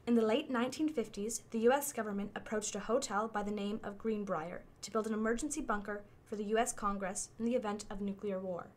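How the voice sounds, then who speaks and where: A woman's voice: mid-range voice, a little fast, few pauses, natural voice. A woman playing an impersonal machine intelligence in a radio play, reporting findings in a formal, precise, matter-of-fact way. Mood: clinical, matter-of-fact.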